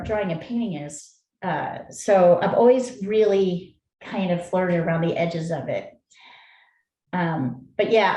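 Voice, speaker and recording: A woman's voice: fairly low pitch, warm tone, echoey room, rough recording. A woman in her forties from Seattle, an art teacher chatting with filler words and encouraging students over a video call. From Seattle, USA. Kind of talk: casual chat and teaching.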